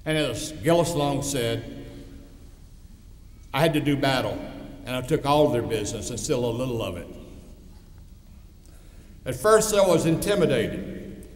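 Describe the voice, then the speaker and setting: A man's voice: mid-range voice, animated, measured pace, long pauses. A man in his sixties with a pronounced East Texas accent gives a commencement speech, telling personal anecdotes in a folksy, wry storytelling manner. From East Texas, United States. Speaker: commencement speaker. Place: large hall, speaking at a ceremony. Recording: echoey hall with long reverb.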